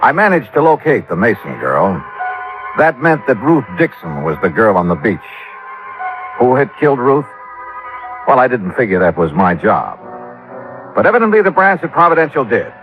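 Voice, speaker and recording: An actor playing a wry, hard-boiled insurance investigator in 1950s radio drama, brisk and clipped. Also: male voice, medium pitch, very animated, natural voice. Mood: wry narration. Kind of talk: 1950s radio detective drama acting and narration. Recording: dull old radio recording with music.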